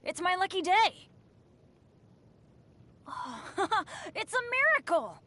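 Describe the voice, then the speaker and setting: High-voiced plucky boy adventurer. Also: very animated, takes it slowly, fairly bright tone, full character voice. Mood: delighted. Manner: earnest, exclamatory. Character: upbeat, unlucky teenage boy adventurer, plucky and earnest. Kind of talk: video game character voice lines.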